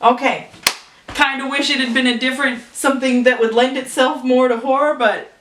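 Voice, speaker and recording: A woman's voice: high-pitched, fairly even intonation, normal speed, noisy background. A woman casually making up and telling a story, chatty and thinking aloud. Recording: roomy echo from a distance, faint music.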